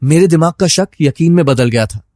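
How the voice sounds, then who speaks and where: A man's voice: on the high side, lively intonation, brisk, a little mellow, no room sound, clean studio sound. A man acting as a troubled, suspicious husband who narrates his own story in a dramatized first-person style.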